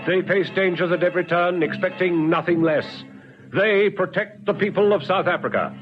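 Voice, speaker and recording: A man's voice: sits high, normal speed, natural voice. A man as a grave, dramatic announcer introducing an old police radio series. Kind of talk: 1970s radio drama signature narration. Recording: muffled old radio, music behind.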